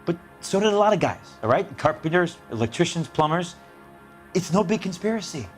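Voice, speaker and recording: A man's voice: high voice, very animated, a little fast, soft background music. A man playing a slangy, defensive working-class repairman under police questioning in a video game, evasive and indignant. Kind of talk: dramatic video game interrogation acting.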